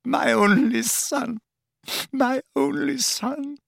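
A woman's voice: medium pitch, sing-song, a little slow, vocal fry, husky, no echo, studio quality, voice pushed up, exaggerated voice. A man voicing a desperate weeping mother who pleads in a choked, tearful voice. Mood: despairing. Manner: choked, pleading, near tears. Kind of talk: audiobook character voice.

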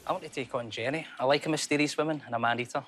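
A Scottish man in his thirties, a quiz show contestant, answering in a quick, easygoing way. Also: medium pitch, steady tone, fairly bright tone, faint music behind. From Scotland. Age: 30s.

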